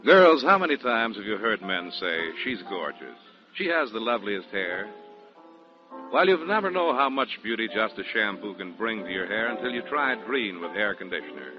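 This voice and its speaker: A man's voice: on the low side, very animated, normal speed, over music. An American man reading a shampoo-style commercial as a 1940s radio announcer. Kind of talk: 1940s radio advertising read. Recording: dull 1940s radio broadcast.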